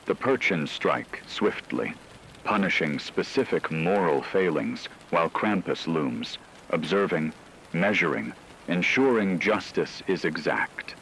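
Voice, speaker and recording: Casting: man playing grave radio news host, low voice, measured and ominous horror-drama read, rainy noise underneath. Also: lively intonation, fairly bright tone, heavily acted, poor audio. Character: grave male radio newscaster reporting supernatural terror.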